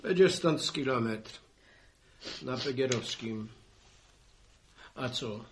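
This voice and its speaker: A man's voice: mid-range voice, slow pace, noisy background. A man acting a matter-of-fact, argumentative village postman in a 1960s Polish radio serial. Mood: insistent, explaining. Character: Wiktor, village postman bringing bad news to neighbours.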